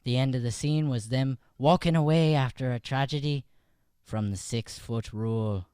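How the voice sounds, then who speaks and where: A man's voice: mid-range voice, normal speed, mellow, clear, resonant tone, dry recording, studio quality. A man performing as an improv comedian, bantering and recapping a show informally into a podcast microphone.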